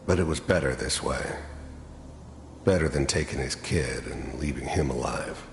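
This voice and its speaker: An actor playing a cynical, hardened middle-aged mercenary in a video game, with a gravelly voice and a wry, world-weary way of confiding. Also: male voice, normal speed. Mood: brooding, bitter. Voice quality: gravelly, very deep. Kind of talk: video game character monologue. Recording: echoey hall reverb with background noise.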